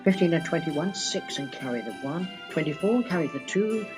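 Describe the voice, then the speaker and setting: A man playing a timid, apologetic clerk in a radio play, with a husky voice and a nervous, deferential way of speaking. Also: a little high, expressive, brisk, over music, close to an everyday voice. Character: meek, apologetic clerk, timid male underling.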